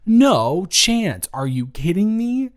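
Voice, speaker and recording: A man playing a smitten, playfully dramatic boyfriend in an improvised romantic audio roleplay, teasing and repeating his words haltingly. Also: high voice, big pitch swings, voice pushed up, heavily acted. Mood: mock outrage, exasperated.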